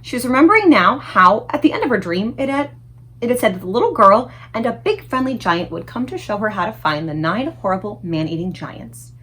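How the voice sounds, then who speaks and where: Husky woman storyteller with very animated pitch. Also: a little fast. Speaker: read-aloud storyteller. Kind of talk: expressive children's book narration.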